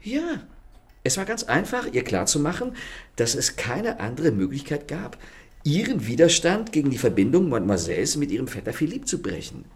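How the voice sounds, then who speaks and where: An actor playing a charming, ironic gentleman thief in a radio play, speaking with smooth, courteous mockery. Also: fairly high pitch, wildly swooping pitch, normal speed, exaggerated voice. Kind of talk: radio drama acting. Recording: studio radio play with faint music.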